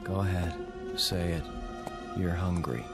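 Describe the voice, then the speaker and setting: A man's voice: low voice, unhurried, gravelly, over music. A man acting in a radio drama as a wisecracking, stubborn everyman traveler, with a wry, emphatic delivery. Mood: subdued.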